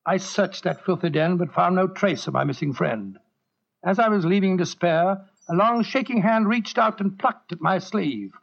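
An actor playing an earnest, upright doctor who narrates in a dramatic, measured way in a 1940s-style radio drama. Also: male voice, sits high, vocal fry. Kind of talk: 1940s radio acting, first-person narration. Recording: dull vintage radio recording.

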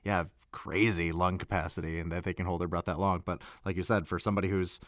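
A man in a casual podcast chat, speaking in a halting way and often repeating words. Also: sits low, flat delivery, no echo, studio quality. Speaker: podcast discussion participant.

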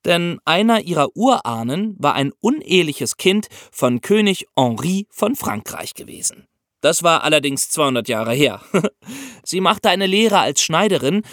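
High-voiced, animated male history podcast host. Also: unhurried, no room sound, studio quality. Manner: explanatory, addresses listeners directly. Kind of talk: scripted storytelling for a history podcast.

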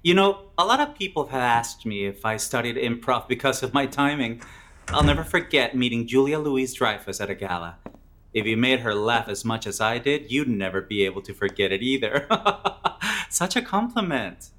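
A man's voice: mid-range voice, lively intonation, normal speed, a bit bright, close to an everyday voice. An actor playing a boastful, self-satisfied man bragging about himself.

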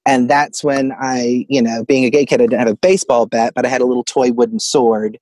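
A man's voice: medium pitch, steady tone, a little fast, darkish tone, no room sound. Male caller from Arkansas, dry close sound. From Arkansas, United States. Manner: chuckles through his story. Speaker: caller telling a personal ghost story. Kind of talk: casual storytelling. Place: closet at home.

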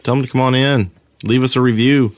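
A man co-hosting a podcast in casual chat, with a hesitant, halting delivery. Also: mid-range voice, steady tone, dry recording. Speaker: podcast co-host.

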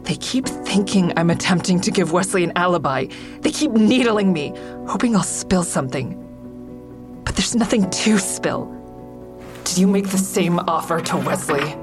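A woman's voice: on the low side, expressive, normal speed, natural voice. An actress playing a bitter, distraught woman who narrates her own police questioning in a raw, profane way. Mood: shaken, weary. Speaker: narrator and lead character in an audio drama. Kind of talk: first-person dramatic narration and dialogue. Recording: music under the voice.

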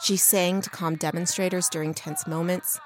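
A woman's voice: fairly low pitch, fairly even intonation, normal speed, a little hiss or hum. A woman reporter reading a scripted radio news feature.

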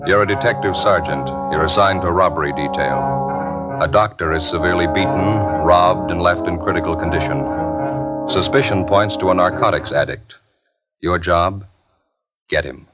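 Deep, grave man narrating over brass. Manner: grave, measured. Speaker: program narrator. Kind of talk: formal 1950s radio narration. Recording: muffled 1950s radio broadcast. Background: loud brass music.

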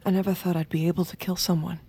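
An actress playing a seductive, submissive killer who confides in the listener in a hushed, intimate manner for an audio drama. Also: female voice, on the low side, little pitch movement, fast, breathy edge. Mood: calm, confiding. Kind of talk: intimate first-person narration and acted dialogue in audio drama.

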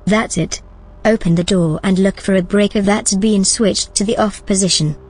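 Audio drama casting: actress for female AI helper, medium pitch, composed, matter-of-fact, formal, music behind. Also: fairly even intonation, normal speed, a little mellow, slightly rough, lightly acted. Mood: composed, helpful. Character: calm, literal, helpful female artificial intelligence assistant.

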